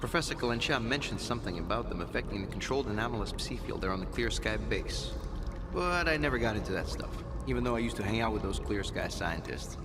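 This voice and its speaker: An actor playing a shady, foul-mouthed and weary male swamp guide in a video game, with gruff, slangy, grumbling delivery. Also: lowish voice, expressive, fast talker, big-room sound, background noise, close to an everyday voice. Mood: dismissive. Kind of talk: video game cutscene acting.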